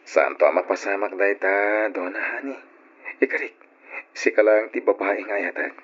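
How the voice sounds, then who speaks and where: A husky young Ilocano boyfriend sweet-talks and reassures in a coaxing, playful manner. The old radio drama recording is dull and lo-fi. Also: male voice, on the low side, moderate pace, a bit bright. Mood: tender, reassuring.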